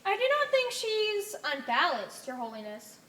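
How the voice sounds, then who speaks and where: A woman's voice: extremely high pitch, huge pitch swings, moderate pace, a bit bright, reverberant, full character voice. A woman playing an earnest, deferential alien in an amateur stage play, explaining things in a careful, theatrical way. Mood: respectful. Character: earnest, deferential female alien, member of a cell.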